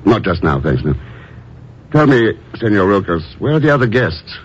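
Unflappable secret agent, lowish and dry, very lively inflection, clipped questions, vintage radio thriller. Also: male voice, moderate pace. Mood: wary, dry. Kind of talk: 1950s radio drama acting. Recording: muffled old radio recording, faint hiss.

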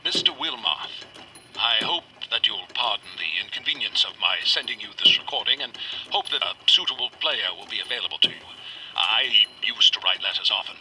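A man playing an earnest, fearful older rural man from Vermont, dictating a formal, halting letter in a radio drama. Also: lowish voice, bright, forceful tone, heavily acted. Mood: courteous, earnest. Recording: noisy old dictation cylinder recording.

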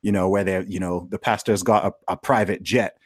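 A man's voice: deep voice, steady tone, fairly quick, dry recording, clean studio sound. A man in casual conversation, talking earnestly with many restarts, who grew up in Saudi Arabia.

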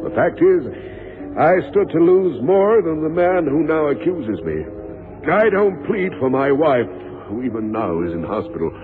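Dramatic pleading crook, voice all over the place, tinny radio play with music. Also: male voice, a little high, big pitch swings, a little slow. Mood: impassioned pleading. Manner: impassioned, oratorical. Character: pleading male swindler making an impassioned appeal. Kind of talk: 1960s-70s radio drama acting.

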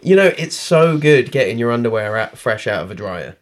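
Deadpan man cracking dry quips. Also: medium pitch, brisk. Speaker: podcast co-host. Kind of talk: casual chat.

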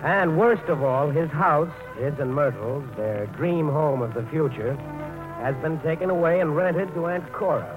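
A man's voice: fairly high pitch, unhurried, over music. A man giving wry, scripted storytelling narration for a 1940s radio drama, on a tinny old broadcast.

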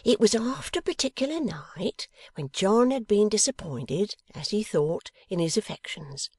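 A woman voicing a warm-hearted, delighted old working-class wife in a classic novel reading, with folksy, exclamatory speech. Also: medium pitch, animated, normal speed, breathy edge, dry recording, clean studio sound, pitched above the performer's own voice. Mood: earnest, eager storytelling.

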